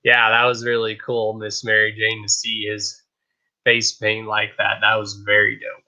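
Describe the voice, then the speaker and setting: A man's voice: fairly low pitch, completely flat delivery, moderate pace, pushed and bright, muffled recording. Hyped casual man hosting a live stream. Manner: hyped, rambling, repeats "I kid you not". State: slightly raspy voice. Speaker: host of a live streamed talk show.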